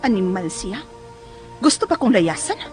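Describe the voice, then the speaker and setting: A woman's voice: mid-range voice, sing-song, normal speed, lightly acted. A Filipina woman playing a domineering, possessive wealthy schemer in a radio drama, with a Filipino accent. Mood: scheming, indignant. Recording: radio drama with loud music underneath.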